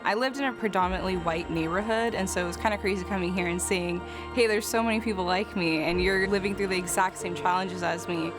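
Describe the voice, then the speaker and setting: A woman's voice: lowish voice, steady tone, fast talker, hardly any pauses. A young woman in her twenties, a graduating student, talking earnestly and casually about her studies in an interview. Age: 20s. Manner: earnest, casual, with light laughs. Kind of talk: reflective interview talk. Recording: interview with music underneath. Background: background music.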